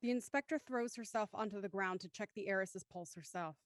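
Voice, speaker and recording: Female narrator, radio drama: medium pitch, measured, descriptive reading, dry sound. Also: dry recording. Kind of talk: scripted audio drama narration, reading stage directions.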